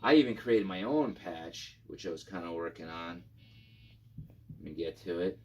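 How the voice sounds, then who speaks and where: A man's voice: deep voice, expressive, normal speed, a little mellow, a little hiss or hum. A man reviewing guitar gear in a casual, informal and rambling product demo style with some enthusiasm.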